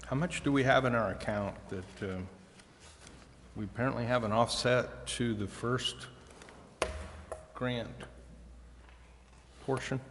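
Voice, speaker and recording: A man speaking as a council member at a public meeting, in halting, formal phrases. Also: medium pitch, fairly even intonation, a bit bright. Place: indoor city council chamber. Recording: echoey council chamber with faint noise.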